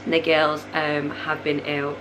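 A young mother talks to the camera from her living room late at night, her voice hoarse and cracking. She rambles along in a chatty way, full of fillers. Her voice sits low, and there is a clear hiss of background noise. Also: monotone, takes it slowly. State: hoarse, voice going. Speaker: vlogger, mother of three. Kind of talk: casual chat to camera.

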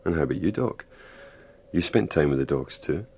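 An actor playing a gruff, resolute Antarctic expedition man, terse and grim, in a radio drama. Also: rumbling low, animated, brisk, darkish tone, a little hiss or hum. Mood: wary.

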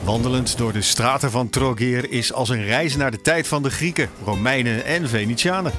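A man reading scripted voice-over narration for a travel program in a measured tone. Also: mid-range voice. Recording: background music under the voice. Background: music.

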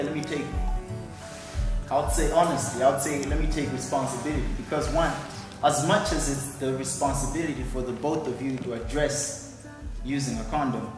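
A man, making his point in a group discussion in a hall. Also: medium pitch. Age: 20s. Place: large indoor hall. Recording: echoey hall, distant mic.

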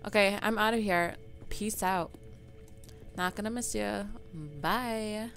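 Raspy sleepy woman doing let's play commentary, slow and playful, loud game music behind. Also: medium pitch, steady tone. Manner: playful, mock-outraged at the game. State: raspy, just woken up.

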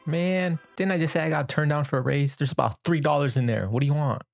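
A man's voice: a little high, quick pace, gravelly, soft background music. A man in his twenties giving casual, slangy live commentary on a cartoon, full of exclamatory asides, into a close microphone in a small room. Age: 20s. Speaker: reaction video host. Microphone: close microphone on a boom arm, with headphones.

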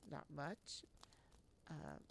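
A woman voicing a humble, weary, hospitable castle scholar with a soft, hesitant manner in a fantasy audio roleplay. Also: low voice, huge pitch swings, slow, creaky, full character voice. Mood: welcoming, apologetic. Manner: hesitant, soft-spoken, modest. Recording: faint background noise.

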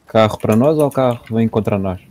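A man's voice: lowish voice, quick pace, mellow. A young man, teasing his friends in casual chat during an online game. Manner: teasing, jokey, laughs a lot. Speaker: gamer teammate. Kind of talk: casual chat while gaming.

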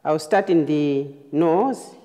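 A woman nurse giving a hands-on clinical demonstration, explaining phrase by phrase in a halting way. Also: deep voice, dark tone. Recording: reverberant room, long echo.

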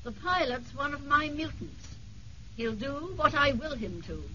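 An actress playing a coldly polite aristocratic villainess in a radio drama, commanding and courteous. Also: female voice, high-pitched, very animated, a little slow, pushed and bright, a little hiss or hum, full character voice. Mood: coolly menacing. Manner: genteel, commanding, icily courteous. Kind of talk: 1970s radio drama acting. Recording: dull 1970s radio broadcast recording.

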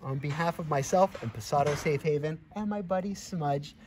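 A middle-aged man reads a picture book aloud to children in an expressive, encouraging way, with friendly asides to his listeners. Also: fairly high pitch, moderate pace, a little hiss or hum. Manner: expressive, encouraging, addresses children and animal directly. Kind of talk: animated storybook reading with friendly asides to young listeners. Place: outdoors in a grassy farmyard by a barn. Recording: clear outdoor background ambience.

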